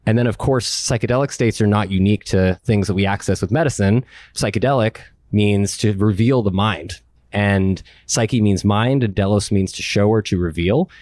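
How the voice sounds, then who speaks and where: Low-voiced enthusiastic panel moderator man. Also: moderate pace, darkish tone, dry recording, clean studio sound. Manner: enthusiastic, explanatory, asks long framing questions.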